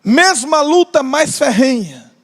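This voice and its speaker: A man's voice: very high voice, big pitch swings, a bit bright. A man preaching a sermon with a Brazilian Portuguese accent, impassioned, stretching out key phrases and joking with the congregation. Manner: impassioned, drawn-out emphatic phrases, jokes with the congregation. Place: large church hall. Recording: long church hall reverb.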